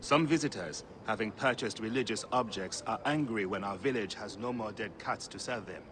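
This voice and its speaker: A man's voice: on the low side, moderate pace, fairly bright tone, slight background noise, natural voice. A man playing a weary, wry villager who takes the blame in a video game drama. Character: weary, wry villager bearing others' anger.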